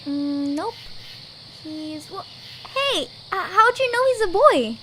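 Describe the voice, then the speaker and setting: Woman voicing a very high-pitched earnest young girl. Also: lively intonation, slow, fairly bright tone, slight background noise, heavily acted. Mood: worried, guilty. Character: anxious young girl adventurer, earnest and troublesome. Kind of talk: audio drama character acting.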